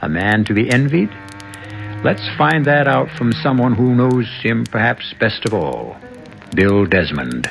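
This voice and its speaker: Male radio drama host, portentous, mid-pitched, measured, music clearly behind. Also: expressive, fairly bright tone. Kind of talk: scripted dramatic narration.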